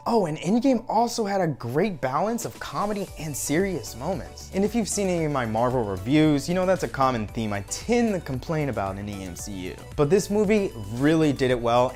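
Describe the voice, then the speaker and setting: A man's voice: on the high side, moderate pace, hardly any pauses. A man in his thirties giving an enthusiastic, emphatic movie review to camera as a video reviewer. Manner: animated, emphatic. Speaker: film reviewer. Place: furnished room at home. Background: background music.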